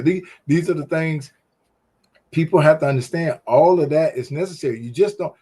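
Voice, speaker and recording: A man co-hosting a relaxed, faith-based talk show, with a voice sitting in the ordinary middle range. He speaks with emphatic, preachy energy, building points in animated runs as if sharing a lesson. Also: medium pitch. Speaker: podcast co-host.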